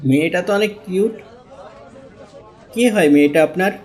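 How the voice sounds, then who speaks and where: A man's voice: high-pitched, moderate pace, darkish tone, lightly acted. A man playing a friendly, generous younger customer in a cartoon. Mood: curious, sympathetic. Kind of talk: cartoon dialogue.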